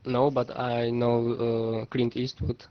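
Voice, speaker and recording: A young man called on during an English class, feeling his way through short, halting replies, caught on a muffled recording. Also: medium pitch, monotone. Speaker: student answering in class.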